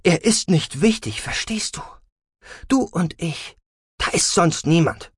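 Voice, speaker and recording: Man playing a strict mother, irritable, curt, guarded, pitched up, animated delivery, audiobook drama. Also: takes it slowly, breathy edge, no room sound, clean studio sound, heavily acted. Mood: irritated, dismissive. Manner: curt, exasperated, evasive. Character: stern, guarded, irritable mother of a teenage girl. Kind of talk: dramatic audiobook character acting.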